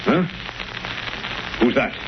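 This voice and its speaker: An actor playing a brash, self-satisfied police commissioner with a gruff, boastful manner in a 1930s radio drama. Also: male voice, very slow. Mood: smug. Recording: muffled, hissy 1930s radio recording.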